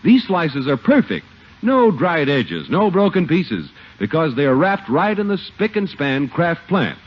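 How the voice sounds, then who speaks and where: A man's voice: fairly high pitch, huge pitch swings, a little slow, breathy edge. A man announcing and narrating a 1950s radio show in scripted style. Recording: muffled old radio recording, loud music.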